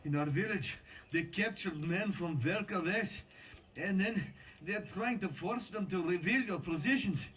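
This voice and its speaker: An actor playing a distressed, pleading villager in a video game cutscene. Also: male voice, high-pitched, measured pace, a bit bright, husky, full character voice. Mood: pleading, shaken. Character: distressed, pleading male villager who escaped captors.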